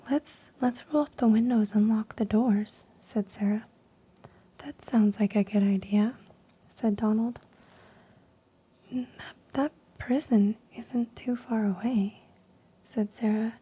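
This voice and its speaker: A woman reading stories in a soft unvoiced ASMR whisper, slow and hushed with frequent ums, while losing her voice. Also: fairly high pitch, breathy edge. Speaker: ASMR creator.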